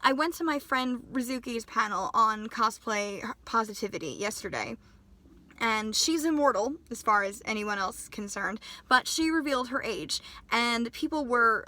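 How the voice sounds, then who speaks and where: A woman's voice: fairly high pitch, animated, measured pace, bright, forceful tone. A woman in her twenties chatting casually in a vlog, her voice still off from a sinus infection, friendly and self-deprecating. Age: 20s. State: recovering from a sinus infection, voice not back to normal. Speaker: cosplay vlogger.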